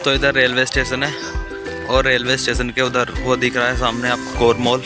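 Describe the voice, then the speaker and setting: This is a man narrating a casual vlog in a mid-pitched voice. He addresses viewers as he goes along. Loud music plays over his words. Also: completely flat delivery, moderate pace. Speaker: vlogger.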